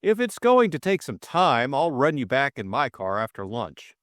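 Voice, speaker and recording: A man voicing a flippant young gentleman sleuth in an audiobook, glib, drawling and teasing. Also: mid-range voice, big pitch swings, moderate pace, no room sound, studio quality. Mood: cheerful. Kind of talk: audiobook character acting.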